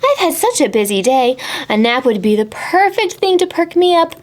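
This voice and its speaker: A woman's voice: sits high, very animated, moderate pace, voice pushed up. A woman voicing a busy, tired lady in a children's storybook who is eager for a nap.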